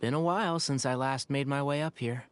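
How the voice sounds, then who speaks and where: A man voicing a gentle, good-humored young scholar in a game, with a soft, amused manner. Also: mid-range voice, a little fast, heavy background noise, natural voice. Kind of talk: game voice acting.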